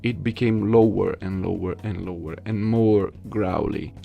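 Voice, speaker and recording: A soft-spoken man talking casually and haltingly to his viewers as an amateur voice actor presenting a video. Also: deep voice, steady tone, vocal fry, narrow, tinny audio, soft background music.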